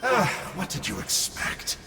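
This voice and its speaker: A man's voice: a little high, huge pitch swings, slow, vocal fry, background noise, heavily acted. A man voicing a smug, theatrical villain scholar in a video game, flamboyant, wordy and mock-dramatic. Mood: musing, sardonic. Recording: long reverb, music behind.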